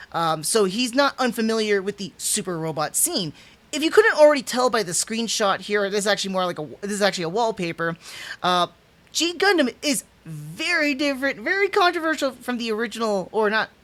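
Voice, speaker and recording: Man, 30s, livestream reviewer. Casual, animated, rambling with restarts and stumbles. Home room, desk webcam. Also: high voice. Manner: rambling, restarts, stumbles over names, chuckles; animated, gestures with hands while talking. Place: small home room indoors.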